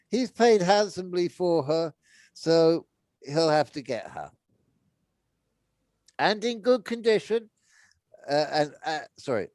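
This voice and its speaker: A man's voice: high-pitched, a little mellow, no echo, pitched above the performer's own voice. A man reading the part of a smug, callous military commander in a storybook, taunting and sneering as he talks.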